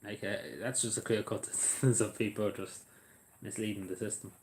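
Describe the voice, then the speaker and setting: A man's voice: lowish voice, fairly even intonation, normal speed, a little mellow, a little hiss or hum. A man chatting casually on a livestream, rambling and hesitant with lots of fillers.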